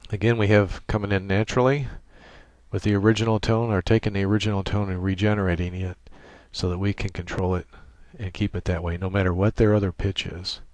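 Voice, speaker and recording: A man giving an informal, matter-of-fact technical software walkthrough as he demonstrates a setup. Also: sits low, flat delivery, a little fast, no room sound. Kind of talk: informal screen-share tutorial narration. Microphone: desktop microphone monitored through computer audio. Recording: clearly noisy home microphone recording.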